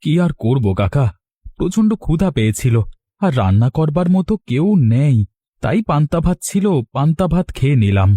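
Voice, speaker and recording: A man's voice: a little high, sing-song, normal speed, mellow, no room sound. A man playing a poor, hardworking young farmer in a Bengali cartoon, humble, plain-spoken and resigned.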